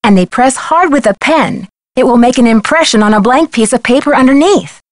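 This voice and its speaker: A woman plays a clever, earnest young female sleuth in a video game. She explains things in a composed way, in a fairly high voice, recorded dry. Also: lively intonation, fairly quick, no room sound, lightly acted.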